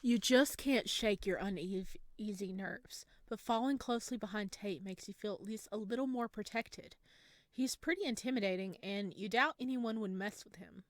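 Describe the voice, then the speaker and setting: A woman's voice: medium pitch, moderate pace, dry recording. A woman playing a horror visual novel, reading its second-person narration aloud and adding asides to her viewers. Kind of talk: reading game text aloud with commentary.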